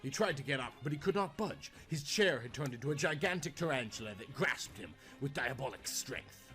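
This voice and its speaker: A man's voice: medium pitch, expressive, fairly quick, pushed and bright. A man reading a scripted tale as a theatrical, dramatic storyteller. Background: music.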